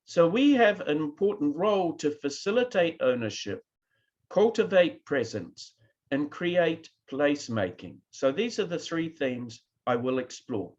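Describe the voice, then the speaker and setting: A man's voice: a little high, sing-song, a little slow, darkish tone, muffled recording. A man with a New Zealand accent giving a keynote lecture to an online conference audience, now and then asking them to react. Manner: addresses a live online audience, asks for reactions. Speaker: keynote speaker.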